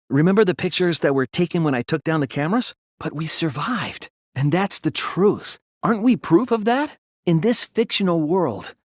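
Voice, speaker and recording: Husky, earnest teen boy detective. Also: a little high, no room sound, studio quality. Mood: careful reasoning, then solemn conviction. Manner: earnest, careful explaining, hesitant. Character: earnest, soft-spoken, hesitant teenage boy detective. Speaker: video game voice actor. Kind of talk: dubbed detective game dialogue.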